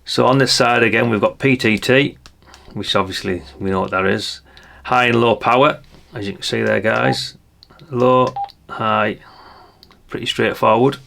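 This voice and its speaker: A man's voice: medium pitch, steady tone, a little slow, gravelly, pauses often, a bit bright, a little hiss or hum. An older man chatting in a rambling way, thinking aloud through a casual hands-on product review. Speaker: radio hobbyist reviewer.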